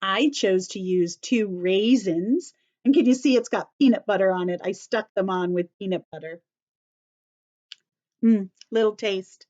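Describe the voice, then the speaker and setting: A woman teacher giving a story-time lesson to young children, explaining in simple, deliberate phrases and repeating key points. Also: mid-range voice, darkish tone, lo-fi sound.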